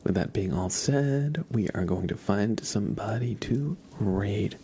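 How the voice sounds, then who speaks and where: A man streaming ASMR, chatting softly and gently in a breathy, often whispered voice, unhurried and informal, close to the microphone. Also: fairly low pitch, animated. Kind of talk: soft-spoken ASMR livestream chat.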